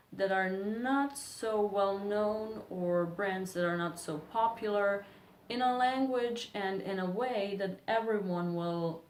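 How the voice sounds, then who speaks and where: A woman's voice: medium pitch, darkish tone. A woman in her early twenties from Serbia with a Serbian accent, chatting to camera as a beauty vlogger in a chatty, apologetic, self-deprecating way. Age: early 20s. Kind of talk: casual chat to camera. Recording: echoey room.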